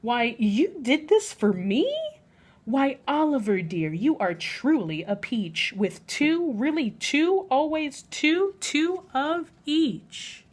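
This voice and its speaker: A woman voicing a prim, fussy female cat from a children's rhyming storybook, with theatrical, drawn-out emphasis. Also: high voice, animated, pitched above the performer's own voice. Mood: delighted. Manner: exclaiming, drawn-out emphatic repetition.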